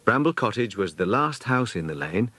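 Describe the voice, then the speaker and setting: A man's voice: fairly low pitch, very animated, normal speed, a bit bright, husky, no room sound. A man with a British accent narrating a children's story from a script as an audiobook reader.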